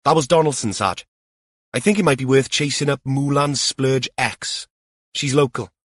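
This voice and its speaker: A man's voice: mid-range voice, moderate pace, no room sound, clean studio sound, pitched above the performer's own voice, lightly acted. A man voicing a junior police detective, curious and eager, with a blunt, colloquial manner, in an audiobook.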